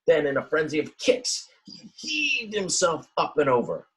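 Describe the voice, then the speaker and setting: A man reading a children's storybook aloud in a dramatic, expressive way, with simple explanatory asides for young listeners. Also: on the high side, a little slow, husky, poor audio. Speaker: teacher reading a picture book aloud.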